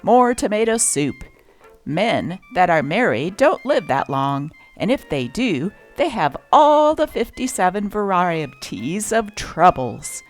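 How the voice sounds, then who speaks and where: A woman hosting a show that reads aloud from old newspapers, with an expressive, varied delivery. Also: slow pace, faint music behind. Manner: expressive reading with lively pitch. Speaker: channel host reading old newspapers.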